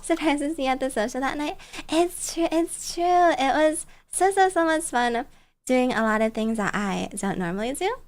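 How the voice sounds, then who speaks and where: A woman's voice: high-pitched, expressive, normal speed. A woman streamer telling stories casually in a bubbly, playful, cutesy way. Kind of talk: casual storytelling chat on a livestream.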